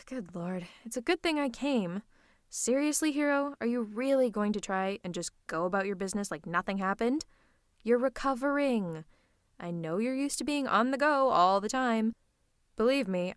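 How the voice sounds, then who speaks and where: A woman's voice: on the high side, no echo, studio quality. A woman playing a bossy, teasing villain who is secretly fond of her hero nemesis, in a dramatic ASMR roleplay. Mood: gently persuasive. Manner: dramatic, teasing, mock-haughty, fussing.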